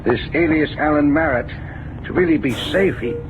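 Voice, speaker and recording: An actor playing a solemn, fretful male private eye in an old-time radio drama, with a grave, deliberate delivery. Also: a little high, lightly acted. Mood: solemn, uneasy. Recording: dull old radio broadcast, noisy vehicle background.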